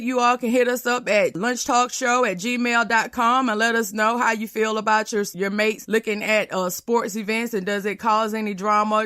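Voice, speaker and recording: Bright-voiced American woman chatting casually. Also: fairly high pitch, clean tone, dry recording, studio quality. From Baltimore, Maryland, US. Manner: colloquial, addresses listeners directly. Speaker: talk show co-host. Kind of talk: casual chat.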